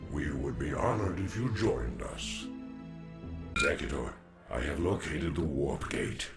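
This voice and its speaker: An actor playing a wise, solemn alien warrior elder in a video game, speaking in grave, formal oratory with a deep gravelly voice. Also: male voice, expressive, measured pace, over music, full character voice. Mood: courteous, urgent.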